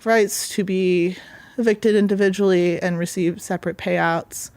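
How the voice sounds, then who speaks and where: A woman explains things in a casual interview. Her voice is a little low, and the recording is dry and close. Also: steady tone, unhurried, a little mellow, no room sound. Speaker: tenant being interviewed.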